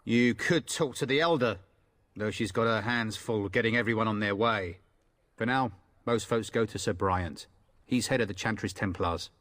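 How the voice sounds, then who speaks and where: Man playing a low-voiced, sour, unwelcoming villager. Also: normal speed, a bit bright, close to an everyday voice. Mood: wary, grudging. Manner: curt, grudging, sardonic. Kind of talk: fantasy video game voice acting.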